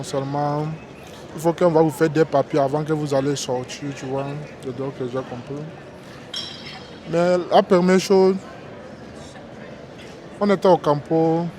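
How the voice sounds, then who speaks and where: A man from Togo with a fairly high voice talks through his story in a halting, roundabout way. He often breaks off, starts again and checks in with his listener. A lively crowd is heard around him on the street. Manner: halting, restarts, tag "tu vois, non?". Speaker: interview guest, migrant worker. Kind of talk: casual storytelling in an interview. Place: outdoors on a busy street.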